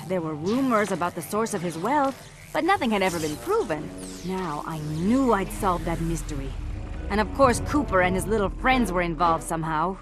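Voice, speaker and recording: A woman's voice: on the low side, expressive, normal speed, lightly acted. An actress playing a tough, sarcastic female police inspector who narrates a video game cutscene in an indignant, scornful and emphatic way. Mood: suspicious, sardonic. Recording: background music under the voice.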